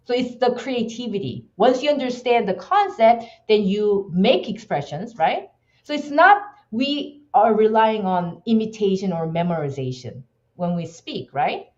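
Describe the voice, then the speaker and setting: A woman's voice: medium pitch, lively intonation, unhurried, dull audio. Passionate Korean-accented woman guest. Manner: emphatic, passionate, frequent restarts. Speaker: podcast guest. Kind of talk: casual interview talk.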